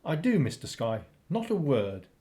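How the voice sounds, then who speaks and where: An actor in a radio play playing a plucky, literal-minded, polite man with an earnest, clipped, deadpan delivery. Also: on the high side, normal speed. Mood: earnest, compliant.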